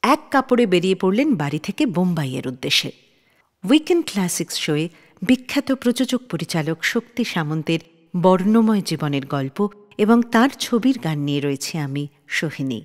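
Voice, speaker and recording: A woman's voice: lowish voice, big pitch swings, fairly quick. A woman hosting a radio show, narrating scripted stories and introducing songs. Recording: long reverb tail on the voice.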